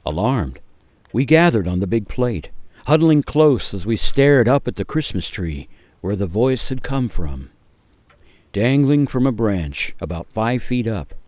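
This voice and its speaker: A man with a low voice narrates a dramatic radio story. His delivery is measured and theatrical, building suspense. The sound is dry, with no room echo. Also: a little mellow.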